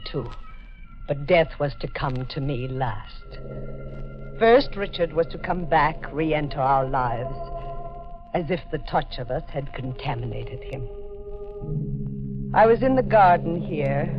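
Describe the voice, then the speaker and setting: Tormented woman, swooping 1940s radio drama. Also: deep voice. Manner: melodramatic, intense. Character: Janet, tormented scarred woman confessing her guilt. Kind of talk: 1940s radio mystery acting, narration and dialogue. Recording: dull 1940s radio recording, music behind.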